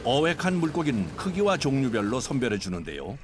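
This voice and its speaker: A man's voice: mid-range voice, sing-song, fairly quick, background noise. A man reading scripted documentary narration in Korean, with music playing behind him. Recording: loud music bed behind voice.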